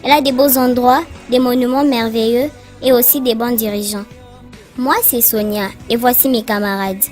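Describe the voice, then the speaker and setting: Woman doing cheerful schoolgirl cartoon narrator, highish voice, bit of music behind. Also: fairly even intonation, normal speed, a little mellow, lightly acted. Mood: friendly, explanatory. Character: Sonia, cheerful schoolgirl narrator introducing her friends.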